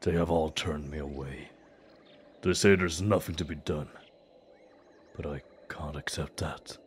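A man playing a desperate, grieving father who pleads humbly and haltingly in a fantasy audio drama. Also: a bass voice, a little hiss or hum. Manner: slow, halting, earnest pleading.

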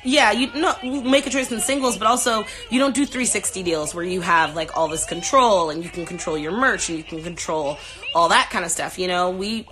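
A woman's voice: lowish voice, a little fast, music in the background. A woman in her thirties chatting candidly to fans on a phone livestream from her couch at home. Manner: chatty, candid, laughs often. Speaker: singer answering fans on a livestream. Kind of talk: casual livestream chat. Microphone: phone held at arm's length.

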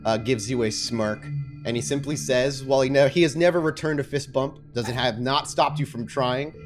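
A man working as game master, narrating a tabletop game in halting, deliberate phrases. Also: mid-range voice, fairly even intonation, over music.

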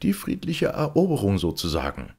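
A man's voice: fairly low pitch, wildly swooping pitch, moderate pace, creaky, a little mellow, husky, voice pushed up, full character voice. A man voicing a jovial, pompous town mayor in a storybook, effusive and declamatory. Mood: pompous toasting.

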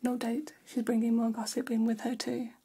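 A woman playing a gossipy, flattering vampire hair stylist in a whispered ASMR role-play, speaking in a soft, breathy, conspiratorial hush. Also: fairly high pitch. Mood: conspiratorial, intrigued. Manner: slow, hushed, conspiratorial, indulgent. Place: small decorated indoor room.

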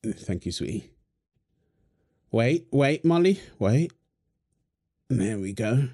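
A man speaks slowly, easing through each line without rushing. His tone is soft and mellow, with no edge to it. He performs a wholesome audio roleplay as a teasing husband and a fond dad who coos to a baby. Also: medium pitch, lively intonation.

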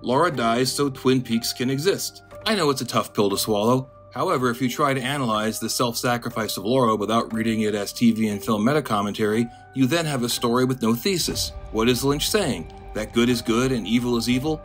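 Deadpan man with a monotone voice. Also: mid-range voice, a little fast, hardly any pauses, soft background music. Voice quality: monotone delivery. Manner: dry, deadpan. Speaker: video essayist. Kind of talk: scripted commentary.

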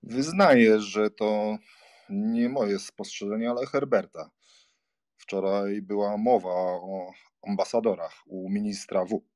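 An actor playing a worldly baron and diplomat in a dramatised audiobook, bantering and emphatic. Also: male voice, on the low side, fairly even intonation, slow pace, a little mellow, dry recording, clean studio sound. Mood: amused, gossipy.

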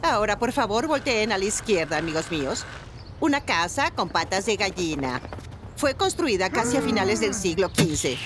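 Showy cartoon tour guide, woman performer, mid-pitched, swooping announcements. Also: big pitch swings, normal speed, background noise, natural voice. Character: theatrical tour guide in a fairy-tale town. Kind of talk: animated cartoon acting. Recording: music behind the voice.

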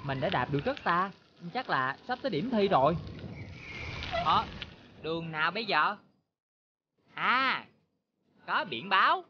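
Animated young cartoon boy. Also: fairly low pitch, a little slow, pauses often, lo-fi sound, noisy background. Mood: determined. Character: determined, polite young boy cyclist. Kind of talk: children's cartoon dubbing.

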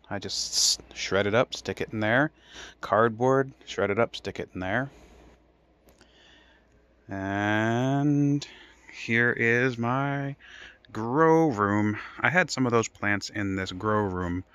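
A man's voice: fairly low pitch, fairly bright tone. A man giving a casual, unscripted tour as a home gardener, rambling and thinking aloud as he goes. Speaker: home gardener showing his grow room. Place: indoor basement grow room.

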